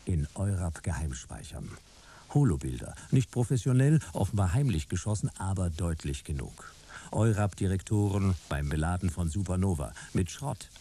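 Very dark, deep-voiced narrator. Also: male voice, lively intonation, normal speed, slightly rough, full character voice. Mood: dry, wry. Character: wry, unhurried male narrator of detective tale. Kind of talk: scripted radio drama narration.

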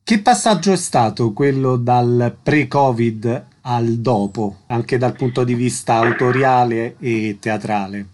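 A man's voice: mid-range voice, takes it slowly, few pauses, a little mellow. A man working as a radio interviewer, delivering concise scripted questions and announcements.

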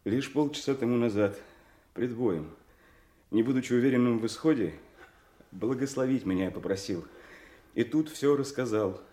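An actor playing a noble, sorrowful young avenging brother in a Shakespearean audio drama, with grave, measured declamation. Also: male voice, medium pitch, dark tone, reverberant, close to an everyday voice. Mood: grieving, intense. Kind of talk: classical Shakespearean stage acting for audio drama.

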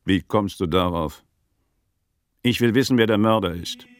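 An actor playing a grumpy, eloquent scholar in a radio crime play, with a sardonic, florid and commanding manner. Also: male voice, lowish voice, normal speed, natural voice. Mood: sardonic, probing.

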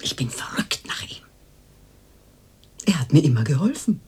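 An older woman playing a cooing, pious, boastful fortune-teller and former madam in a radio drama, with a theatrical, husky delivery. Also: low-pitched, big pitch swings, moderate pace, warm tone, in a whisper, heavily acted. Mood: confiding, pious.

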